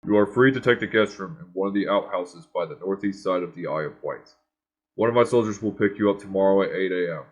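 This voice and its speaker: A man playing a guarded, authoritative wasteland governor in a game cutscene, with a measured, commanding, cryptic manner. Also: low-pitched, lightly acted. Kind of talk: scripted game cutscene dialogue.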